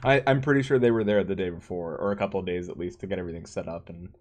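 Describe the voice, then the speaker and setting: A man's voice: deep voice, racing, a little mellow. Rambling, laughing male podcast co-host. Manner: rambling, stop-start, laughs often. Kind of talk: casual gaming podcast chat.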